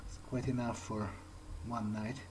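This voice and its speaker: A man voicing a brooding vampire in a game playthrough, muttering slowly to himself. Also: lowish voice, level tone, a little hiss or hum, lightly acted. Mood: musing, weary. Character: brooding vampire musing on the night's hunt. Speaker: let's player. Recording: loud game music over voice.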